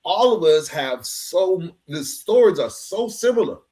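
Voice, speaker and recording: A man's voice: sits high, animated, unhurried, mellow. A man hosting a podcast interview, speaking casually and reflectively, affirming his guest and punctuating his points with slang. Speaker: podcast host. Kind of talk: conversational interview with reflective commentary.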